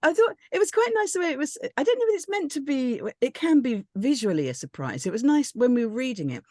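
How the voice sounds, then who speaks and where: Animated, rambling woman. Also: sits high, fast talker, no echo, clean studio sound. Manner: chatty, rambling, tentative, enthusiastic. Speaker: script reading panellist. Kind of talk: casual group discussion of a script.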